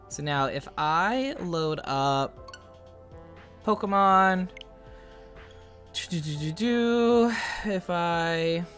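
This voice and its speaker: A man streaming a game live, chatting casually, excitable and laughing often, loud when he gets excited. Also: on the high side, gravelly. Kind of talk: casual livestream chat while gaming.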